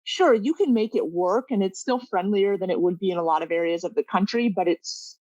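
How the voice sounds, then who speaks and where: A woman's voice: medium pitch, brisk, a little mellow, no room sound, muffled recording. A woman from Northern California chatting casually as a guest in a conversation. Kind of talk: casual chat.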